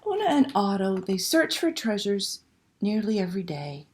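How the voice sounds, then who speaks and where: A woman's voice: mid-range voice, big pitch swings, moderate pace, darkish tone. A woman hosting a library story time, reading aloud and talking to young children with simple questions and prompts.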